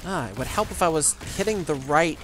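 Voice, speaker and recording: A co-player chatting casually during a game stream, wry and quick to laugh. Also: medium pitch, animated, background noise. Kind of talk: casual gaming chat.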